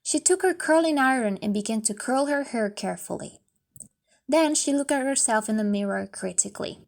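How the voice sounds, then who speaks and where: A woman reading scripted story narration in a careful, deliberate manner. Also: a little high, lively intonation, gravelly. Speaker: audiobook reader.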